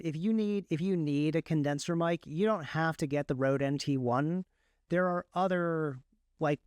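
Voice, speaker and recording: Man, slightly high voice, crisp and smooth, casual tech talk, rambling enthusiastic explainer, podcast guest. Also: darkish tone, no room sound, clean studio sound. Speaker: podcast guest, audiobook narrator. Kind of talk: casual technical chat.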